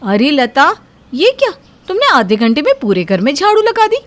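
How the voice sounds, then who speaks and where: A woman's voice: high voice, very animated, normal speed. A woman playing a jealous, spiteful housewife in a cartoon moral story, sarcastic, bossy and full of complaints. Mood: scolding. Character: spiteful, jealous elder sister-in-law, grumbling housewife.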